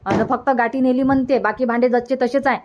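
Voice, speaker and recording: A woman's voice: on the high side, fairly even intonation, fairly quick, darkish tone, natural voice. A woman voicing an earnest, flustered younger village sister-in-law in a comic cartoon, chatty and insistent, with a Varhadi Marathi accent. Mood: alarmed, insistent. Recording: dull, lo-fi sound.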